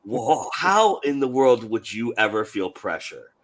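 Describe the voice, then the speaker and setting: A man hosting an online panel show, his voice hoarse with a little growl, talking in a rambling, self-deprecating way. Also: medium pitch, big pitch swings, measured pace. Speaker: host.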